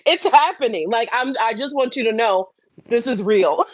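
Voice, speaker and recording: A woman's voice: a little high, animated, normal speed, no echo. A woman co-hosting a casual panel chat, speaking bluntly and opinionatedly with plenty of swearing. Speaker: podcast co-host.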